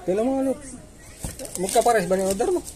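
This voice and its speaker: A woman's voice: medium pitch, fast talker, warm tone. A Filipino woman in casual conversation, explaining gear to a companion in an informal way.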